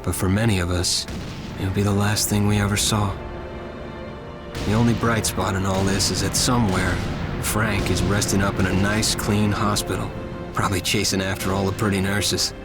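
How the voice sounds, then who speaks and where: A man's voice: sits low, level tone, moderate pace, natural voice. An actor playing a weary, reflective young US Marine, with an American accent, narrating his war in a plain, somber way. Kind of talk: scripted first-person war narration. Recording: loud music behind the voice.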